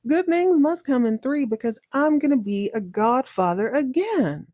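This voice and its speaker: Woman playing a glib, excitable male storybook cat. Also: high-pitched, moderate pace, no echo, studio quality, voice pushed up. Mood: excited, coaxing. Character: sly male cat, breezy, defensive fibber. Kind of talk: casual storybook character dialogue.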